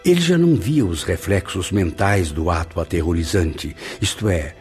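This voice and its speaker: An actor in a radio drama playing a reflective spirit who narrates his memories in a measured way. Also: low-pitched, animated, a little mellow, faint music behind.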